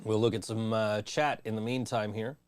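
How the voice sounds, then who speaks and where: A man's voice: on the low side, level tone, moderate pace, smooth. A man in his forties hosting a podcast in casual chat, rambling and laughing often. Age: 40s. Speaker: podcast host.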